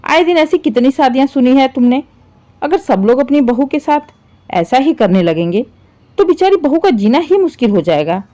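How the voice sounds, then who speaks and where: A patient older father-in-law in a family story, put on by a woman storyteller. He pleads and reasons as a gentle peacemaker. The tone is soft and mellow, with an animated, varied pitch. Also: normal speed. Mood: placating. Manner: placating, reasoning.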